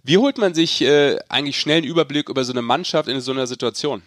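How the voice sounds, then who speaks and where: Guy hosting a sports podcast, chatty n jokey, close dry sound, medium pitch. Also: fast talker, fairly bright tone, no echo. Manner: informal, bantering. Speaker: podcast host. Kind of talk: casual sports podcast chat.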